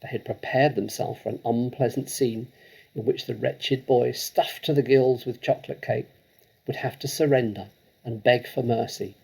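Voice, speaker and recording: Gravelly man reading a story. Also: medium pitch, mellow, low-quality recording. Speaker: storybook reader. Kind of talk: expressive storybook narration.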